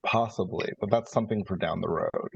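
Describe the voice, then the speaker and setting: A man plays a calculating brother in a 1950s horror roleplay game. His voice is very low and gravelly, and he lays out his words deliberately, in halting phrases. The sound is slightly dull, with music underneath. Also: dry recording, exaggerated voice. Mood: cautious, pragmatic. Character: calculating adult brother from a ruthless 1950s family. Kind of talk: improvised in-character tabletop roleplay. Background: background music.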